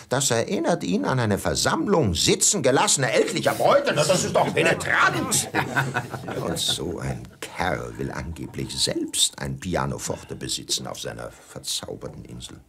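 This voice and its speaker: An actor playing a pompous, scornful colonial hotelier in a German radio play, blustering and insinuating with a gravelly voice. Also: male voice, lowish voice, a bit bright, exaggerated voice.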